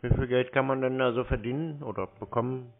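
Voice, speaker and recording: A man's voice: mid-range voice, steady tone, brisk. A man chatting casually on a phone call in a laconic, dry, needling way. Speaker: scam-baiter taking calls. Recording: muffled narrow phone-call sound.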